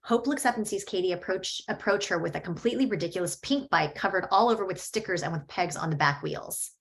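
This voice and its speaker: A woman reading the stage directions at a script table read, in an even, matter-of-fact way. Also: lowish voice, quick pace.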